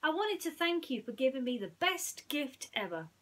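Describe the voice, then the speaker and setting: A woman's voice: high-pitched, big pitch swings, moderate pace, a bit bright, voice pushed up. A woman voicing a small, friendly male mouse with a folksy, hesitant way of talking in a bedtime story. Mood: grateful, affectionate.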